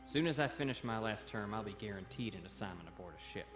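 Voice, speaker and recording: A man's voice: on the low side, animated, fast, natural voice. A man playing a young naval cadet and translator in a sci-fi audio comic, plainspoken, dutiful and respectful but guarded. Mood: defensive, proud. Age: young adult. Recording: faint music behind the voice.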